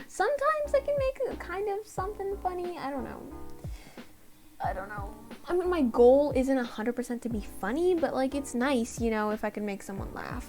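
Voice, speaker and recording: A tired young woman with a slightly raspy voice, rambling and apologizing in a casual voiceover for her art channel. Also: high-pitched, big pitch swings, moderate pace. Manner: rambling, apologetic, self-deprecating. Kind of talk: casual voiceover over a speedpaint. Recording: voiceover over loud background music. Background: background music.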